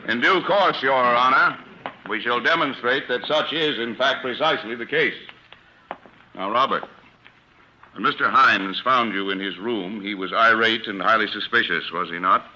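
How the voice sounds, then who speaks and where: Vintage muffled radio, slick male defense lawyer, formal diction, very sharp forceful tone, 1940s melodrama acting. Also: mid-range voice, normal speed, a little hiss or hum. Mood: smooth, probing. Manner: formal, articulate, leading courtroom questioning. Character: smooth defense lawyer, secretly a murderer, turning rattled when cornered. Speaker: defense attorney.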